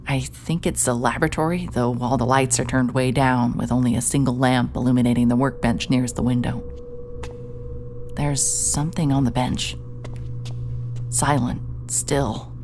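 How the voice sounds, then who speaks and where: A woman's voice: a bass voice, a little mellow, slight background noise. An actress playing a dogged, awkward female private investigator in a cinematic audio drama, moving between brooding narration and hesitant dialogue. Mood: hushed, eerie.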